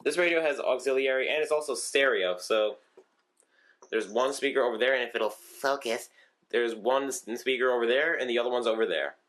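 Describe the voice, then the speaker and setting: A man's voice: mid-range voice, fairly quick, a bit bright. A man casually showing his weather radio collection on video, rambling with lots of ums and restarts. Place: room at home with shelves of radios.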